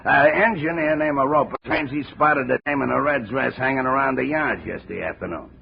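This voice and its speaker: An actor playing a gruff, aging railroad detective who talks in heavy railroad slang, in a 1950s radio drama. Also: male voice, mid-range voice, a little fast, full character voice. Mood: briefing. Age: older. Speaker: railroad cop. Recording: dull old radio transcription with faint hiss.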